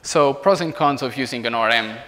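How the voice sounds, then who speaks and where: A man's voice: medium pitch, fairly quick, pushed and bright. Portuguese-accented man presenting in a reverberant hall. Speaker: software engineer giving a talk. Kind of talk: informal technical presentation. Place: indoor meetup room beside a large screen.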